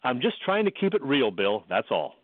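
A man's voice: mid-range voice, wildly swooping pitch, normal speed, no room sound. A man co-hosting a sports radio show, giving his opinions in a casual, matter-of-fact way. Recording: dry, thin phone-like sound.

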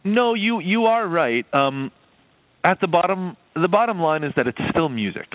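A man's voice: high-pitched, lively intonation, moderate pace, no echo. A man talking casually as an interview guest, explaining his composing work in a meandering, conversational way. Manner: explanatory, meandering, with frequent "you know". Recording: muffled narrowband phone-like line.

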